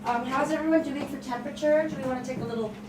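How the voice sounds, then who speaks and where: A woman's voice: high-pitched, steady tone, rapid-fire, very noisy. A woman organising a group session, giving casual announcements and checking in with people. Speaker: organiser of the table read. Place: large room at a script table read. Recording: echoey hall, distant mic, loud crowd.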